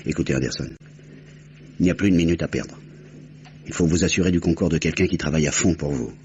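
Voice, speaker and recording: An actor playing a grave, insistent police inspector in an old French radio mystery, speaking in a measured, earnest way. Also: male voice, rumbling low, darkish tone. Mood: urgent, earnest. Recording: muffled, hissy old radio recording.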